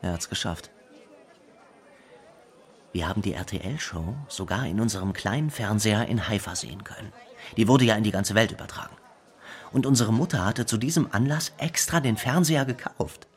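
An actor playing a singer's proud, excitable brother, telling memories in a radio drama. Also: male voice, on the low side, moderate pace, lightly acted. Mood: proud, nostalgic. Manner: reminiscing, storytelling. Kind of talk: documentary radio drama monologue.

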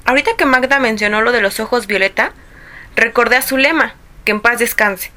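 A woman's voice: fairly high pitch, brisk, pushed and bright. An actress with a Mexican accent playing a bashful, chatty, peace-making older woman in a radio drama. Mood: reminiscing. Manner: timid, coy, placating.

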